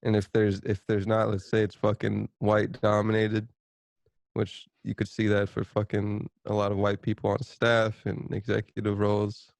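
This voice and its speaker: A man's voice: on the low side, monotone, moderate pace, vocal fry, dry recording. A man co-hosting a podcast in casual chat, hesitant and rambling, repeating himself and swearing casually. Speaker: podcast co-host.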